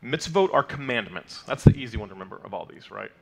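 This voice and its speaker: A man's voice: mid-range voice, lively intonation, fast, creaky, husky, rough recording. Man teaching a Torah portion at a lectern. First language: not Hebrew. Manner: explanatory, frequent fillers and "okay?" check-ins. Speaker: congregation teacher. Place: sanctuary, mid-size indoor hall.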